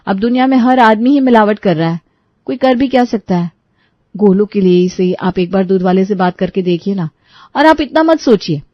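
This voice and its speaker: A woman's voice: mid-range voice, no room sound, lo-fi sound, close to an everyday voice. Storybook role: young Indian daughter-in-law a woman performing, polite, persuasive, reassuring, close dry sound. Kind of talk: animated moral storybook acting.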